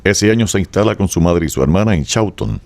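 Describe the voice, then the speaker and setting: A man's voice: sits low, brisk, a little mellow. A man narrating a radio drama from a script, in a formal storytelling style.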